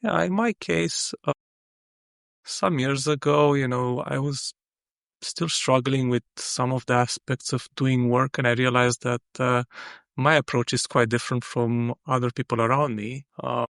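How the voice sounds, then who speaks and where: A man from Romania, a freelance software engineer, talking as a guest in a casual interview, thoughtful and full of "you know" fillers. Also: medium pitch, fairly even intonation, measured pace, vocal fry, dry recording, studio quality.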